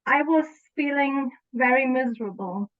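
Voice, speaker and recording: A woman whose first language is German, speaking slowly with many pauses and ums as a guest in a casual interview. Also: high-pitched. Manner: hesitant, many ums, apologetic about her English. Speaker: interview guest, past client. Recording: tinny phone-like line.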